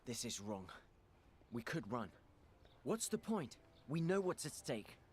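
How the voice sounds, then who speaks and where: An actor playing a wary, suspicious adventurer in a video game cutscene, questioning in a measured way. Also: male voice, mid-range voice, big pitch swings, husky. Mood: uneasy.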